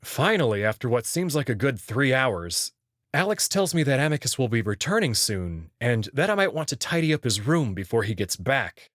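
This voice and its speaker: A man reading a visual novel aloud as its narrator, in an expressive storytelling style. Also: mid-range voice, moderate pace, dry recording, studio quality. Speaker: narrator and reader.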